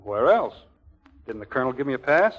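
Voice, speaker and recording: An actor playing a put-upon, exasperated young army lieutenant in a 1940s radio comedy, on a muffled old broadcast. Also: male voice, medium pitch, very animated, brisk, faint music behind. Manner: stammering, put-upon, grumbling. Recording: dull, band-limited 1940s radio broadcast.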